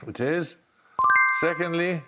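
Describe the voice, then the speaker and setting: A man hosting a televised quiz, reading questions and calling answers in a brisk, authoritative way. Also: a little high, loud music behind the voice. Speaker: quiz show host.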